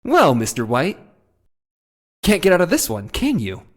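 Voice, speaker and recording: A man's voice: on the high side, huge pitch swings, measured pace, heavy background noise. A man acting an earnest, anxious young defence lawyer in a video game drama, emphatic and pleading. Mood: confident, pressing. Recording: music under the voice.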